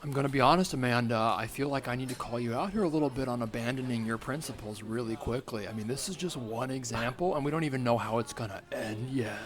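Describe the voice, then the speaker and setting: A man's voice: medium pitch, fast, few pauses, natural voice. Man acting as young woman, earnest loyal friend, scolding, mock-serious, improv comedy. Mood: earnest reproach.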